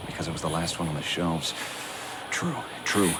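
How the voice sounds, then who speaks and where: An actor playing a famous detective in a video game, theatrical and brooding yet awkwardly polite. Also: male voice, sits low, animated, moderate pace, very noisy. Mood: musing. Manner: theatrical, hesitant stammering when flustered, sighing. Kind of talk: dramatic game voice acting. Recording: music behind the voice.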